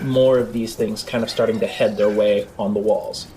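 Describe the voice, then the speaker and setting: A man's voice: fairly low pitch, steady tone, moderate pace, over music, poor audio. A man improvising the narration of a tabletop role-playing game as game master. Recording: clear background noise.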